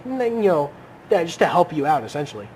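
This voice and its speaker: Fast-talking rambling young man. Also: on the high side, big pitch swings, a little mellow, slight background noise, low-quality recording. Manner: rambling, many restarts and hedges. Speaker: podcast co-host. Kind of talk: casual political chat.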